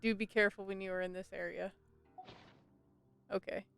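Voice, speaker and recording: Clear-voiced woman streaming a game, even delivery. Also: mid-range voice, normal speed, faint music behind. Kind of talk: casual gameplay commentary, reading dialogue aloud.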